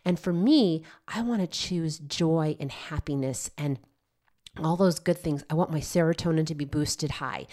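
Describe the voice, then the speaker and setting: A woman's voice: sits low, darkish tone. An older woman hosting a health podcast, slightly nasal from a head cold, chatty and encouraging, often checking in with "okay?". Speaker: podcast host, nurse practitioner. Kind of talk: solo health podcast talk.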